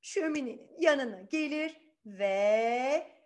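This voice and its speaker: Woman, children's storyteller, high-pitched, animated, conversational, chatty, question-asking. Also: takes it slowly. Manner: chatty, asks the young listeners questions.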